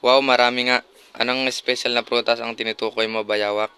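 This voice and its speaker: A man's voice: mid-range voice, level tone, a little fast, sharp tone, smooth, close to an everyday voice. A man voicing a friendly, cautious forest animal in a puppet show.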